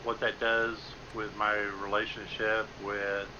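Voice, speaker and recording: A man speaking quietly and hesitantly in a casual chat. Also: on the low side, little pitch movement, a little slow. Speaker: guest friend. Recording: distant muffled lo-fi, faint hum.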